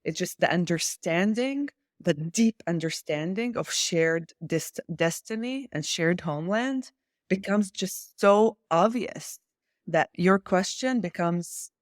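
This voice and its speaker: A woman's voice: fairly low pitch, lively intonation, slow pace, dry recording, clean studio sound. A woman from Israel speaking as an interview guest in a political discussion, challenging and insistent, repeating key phrases for emphasis.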